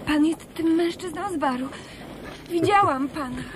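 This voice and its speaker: A woman's voice: high voice, normal speed, noisy background, lightly acted. A woman playing a frightened, pleading young woman. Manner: pleading, breathless and scared. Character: frightened young woman caught in a blackmail drop. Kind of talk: 1990s radio drama acting.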